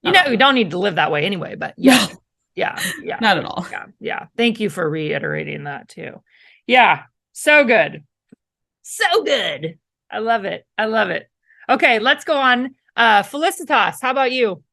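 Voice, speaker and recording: Lively, reassuring woman hosting a chat. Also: medium pitch, moderate pace, vocal fry. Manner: chatty and reassuring, laughs easily. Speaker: host. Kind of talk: casual video-call conversation.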